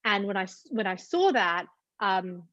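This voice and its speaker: A woman's voice: mid-range voice, big pitch swings, a little slow, a bit bright, no room sound, highs cut off. A woman in her thirties with an Australian accent, answering interview questions reflectively with many fillers and restarts. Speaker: guest.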